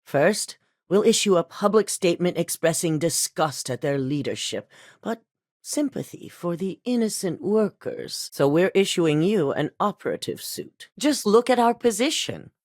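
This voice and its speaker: An actress voicing a composed, commanding female corporate CEO in a video game, speaking in a measured, authoritative, businesslike way. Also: fairly low pitch, expressive, darkish tone, dry recording, clean studio sound. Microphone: dry studio voice recording.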